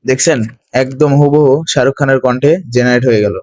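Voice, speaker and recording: A man's voice: medium pitch, fairly even intonation, fast talker, a little mellow, dry recording. A man narrating a step-by-step screen tutorial as its host.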